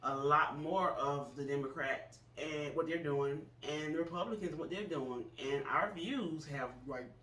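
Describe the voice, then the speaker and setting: A man's voice: on the high side, a little slow, hardly any pauses, pushed and bright. A man around forty, co-hosting a casual political chat, talking earnestly with frequent restarts. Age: 40s. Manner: earnest, hesitant with many restarts. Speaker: co-host. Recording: echoey room, voice from far off.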